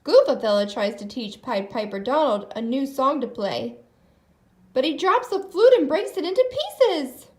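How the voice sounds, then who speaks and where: High, animated woman reading a storybook. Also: normal speed. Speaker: storybook reader. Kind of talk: expressive children's story narration.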